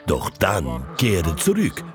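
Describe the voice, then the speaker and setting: Ein Mann spielt in einem Fantasy-Hörspiel einen höfischen Wahrsager, der blumig, ehrfürchtig und mit gedehnten Worten schmeichelt. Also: male voice, low voice, over music, heavily acted. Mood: mysterious, reverent. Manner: ornate, reverent flattery with drawn-out words. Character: flowery, courtly male desert fortune-teller and tobacco seller. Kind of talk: fantasy audio drama acting.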